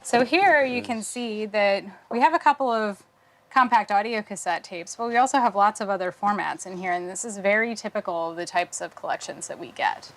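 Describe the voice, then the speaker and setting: A woman's voice: medium pitch, brisk. A woman, an archive preservationist, explaining her work in an interview. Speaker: archive preservation team lead.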